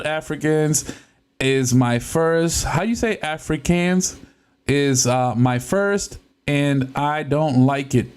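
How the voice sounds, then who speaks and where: A man's voice: fairly high pitch, darkish tone, clean tone. A young man from Puerto Rico, a native Spanish speaker, chatting casually on a live stream while he works on a console, often laughing and tripping over words. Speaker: livestream host.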